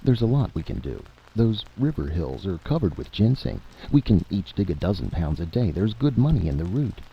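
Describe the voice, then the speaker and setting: Male narrator as level-headed optimistic planner, persuasive, very low gravelly voice, muffled adventure story recording. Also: very animated, moderate pace, a little hiss or hum, exaggerated voice. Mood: hopeful. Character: steady, reasoning male adventurer, hopeful planner.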